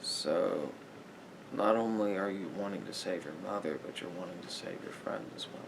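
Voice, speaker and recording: A man playing a calm, sympathetic therapist in an ASMR roleplay, speaking in a halting, pensive way with pauses. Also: creaky. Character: calm, sympathetic male therapist talking to a client. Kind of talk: slow therapy roleplay ASMR, improvised and conversational. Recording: noisy recording with low rumble.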